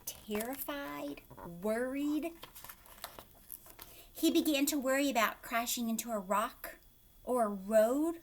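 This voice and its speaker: A woman's voice: fairly high pitch, slight background noise. A woman teacher reading a picture book aloud to young children, expressively, with questions and asides to her listeners.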